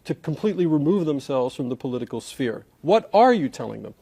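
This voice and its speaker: A man's voice: a little high, big pitch swings, a little fast, vocal fry, dark tone, slightly rough. A man hosting a formal interview as a professor, asking articulate questions and humming along as he listens.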